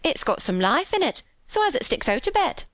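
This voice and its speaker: A woman's voice: high voice, sing-song, brisk, no room sound, clean studio sound, voice pushed up. A woman voicing a kindly, plain-spoken young servant girl with a Yorkshire accent in a storybook. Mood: cheerful, encouraging. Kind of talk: audiobook character dialogue.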